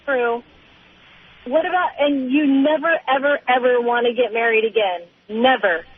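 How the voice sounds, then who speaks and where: A woman's voice: on the high side, normal speed, husky. A middle-aged woman calling in to a radio show, chatting casually in a hesitant, rambling way. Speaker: caller. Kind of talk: casual conversational phone call. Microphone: telephone. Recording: tinny narrow phone line.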